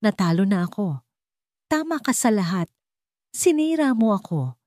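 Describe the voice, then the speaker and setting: A woman's voice: mid-range voice, sing-song, moderate pace, warm tone, no room sound, pitched above the performer's own voice, natural voice. Lady narrator doing a wronged ceo guy, cold n calculating, audio drama, dry sound. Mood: feigned defeat.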